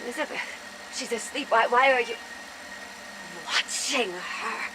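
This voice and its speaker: A woman's voice: on the high side, measured pace, background noise, close to an everyday voice. An actress playing a defiant, tormented female prisoner in a video game, with a strained, emotionally raw delivery. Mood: wary, defiant. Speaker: captive character in a video game. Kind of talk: scripted cutscene dialogue.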